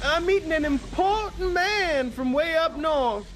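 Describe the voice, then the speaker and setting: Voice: very high-pitched, animated, measured pace, fairly bright tone, heavily acted. An actor playing a cheeky, self-important young wagon driver with folksy rural speech in a radio drama. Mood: wary, indignant.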